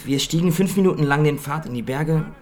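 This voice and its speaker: An actor playing a nervous young soldier who gives stiff, clipped reports in military jargon in a radio drama. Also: male voice, on the high side, brisk, darkish tone, lightly acted. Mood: stiff, reporting. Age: young adult. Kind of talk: German radio drama acting.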